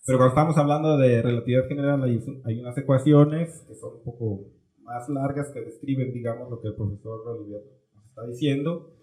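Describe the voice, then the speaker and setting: A man's voice: mid-range voice, fairly quick, warm tone. A man co-hosting a podcast, asking long, rambling questions that he corrects as he goes. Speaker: podcast co-host. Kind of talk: casual interview questions. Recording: roomy echoey room.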